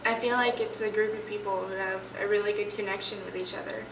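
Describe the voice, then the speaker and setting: A woman's voice: medium pitch, fairly even intonation, quick pace. A teenage female theater student giving a casual answer in an interview. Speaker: high school theater student. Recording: echoey, noisy room, distant mic.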